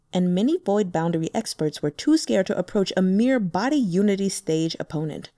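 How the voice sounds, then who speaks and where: A woman reading a translated fantasy novel aloud as an audiobook narrator, expressive and voicing the characters. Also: mid-range voice, no room sound, studio quality.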